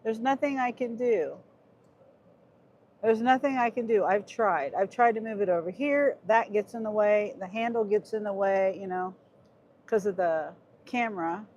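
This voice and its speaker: A woman's voice: medium pitch, normal speed, a little mellow, muffled recording, slight background noise. A middle-aged woman with a Midwest American accent, chatting on a livestream from her car and reading viewer chat aloud. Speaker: gig worker livestreamer. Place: inside a car. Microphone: phone on a dashboard mount.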